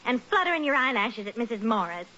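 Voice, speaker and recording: An actress playing an exasperated, sensible middle-aged wife in an old-time radio sitcom, chiding and sharp-tongued. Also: female voice, a little high, big pitch swings, quick pace, a little hiss or hum. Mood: indignant. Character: exasperated, sensible wife scolding her foolish husband. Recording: muffled old radio recording.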